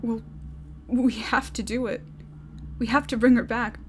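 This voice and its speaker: A fairly high-pitched young woman acted by a woman, bitter and resolute, speaks bluntly and with command in an audio drama. There is faint noise behind her. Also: fairly even intonation, measured pace, close to an everyday voice. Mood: cold, determined.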